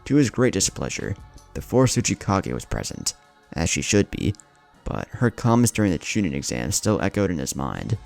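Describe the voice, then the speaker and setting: A man with a very low, creaky voice delivers dramatic story narration. His delivery swings widely in pitch, and music plays underneath. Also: big pitch swings, moderate pace, a little mellow. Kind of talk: dramatic scripted narration of fan fiction.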